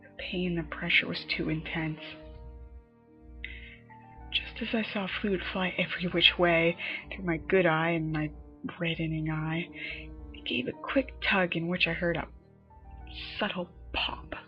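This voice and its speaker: A woman narrating a scripted horror story in a dramatic manner, her phrases often dropping into a breathy hush. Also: on the low side, steady tone, unhurried, long pauses. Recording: muffled lo-fi home recording, music behind. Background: background music.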